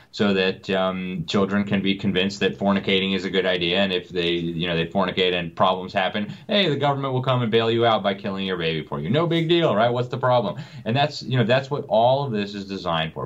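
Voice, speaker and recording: A man's voice: deep voice, fairly bright tone, dull audio. A man interviewed as a guest on a talk show, speaking indignantly with many fillers. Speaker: guest commentator.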